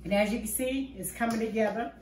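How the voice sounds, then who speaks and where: An older woman with a slightly high voice talks through her home recipe step by step, homey and unhurried. She stands back from a camera in her kitchen, so the sound is roomy and distant, with faint clatter of dishes and pans. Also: darkish tone, slight background noise. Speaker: home cooking host. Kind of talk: casual cooking demonstration. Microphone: far camera on the counter.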